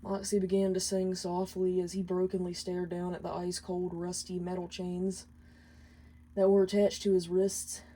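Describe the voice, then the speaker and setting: A woman's voice: on the low side, completely flat delivery, normal speed, warm tone, poor audio. A woman reading scripted fiction aloud as a storyteller. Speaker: fan fiction reader.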